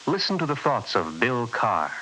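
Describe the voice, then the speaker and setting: A man announcing a radio play in a deliberate, portentous, dramatic style. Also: mid-range voice, very animated, over loud music. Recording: very noisy old radio recording.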